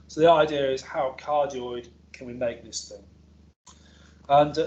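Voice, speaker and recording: A man's voice: medium pitch, low-quality recording. A male academic researcher presenting a technical seminar in an informal, explanatory way, with hesitations and self-corrections. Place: seminar room with an audience present.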